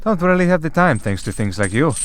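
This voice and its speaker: A man's voice: on the high side, big pitch swings, a little fast, slight background noise. A man playing a centuries-old vampire priest in an audio roleplay, dry, sardonic and protective. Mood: defiant.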